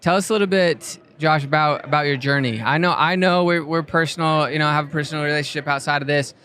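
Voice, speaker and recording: Male podcast host, slightly higher voice, laid-back and jokey, laughs a lot. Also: fast, pushed and bright. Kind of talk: casual interview chat.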